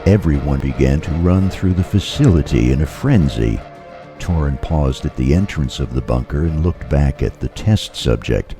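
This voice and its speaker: A man's voice: extremely low pitch, expressive, moderate pace, mellow, faint music behind. A man reading scripted narration for an audio drama as a grave storyteller.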